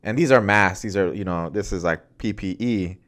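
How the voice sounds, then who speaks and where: A man's voice: low-pitched, normal speed, a bit bright. An American man co-hosting a podcast, talking through the news in a conversational way. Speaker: podcast co-host.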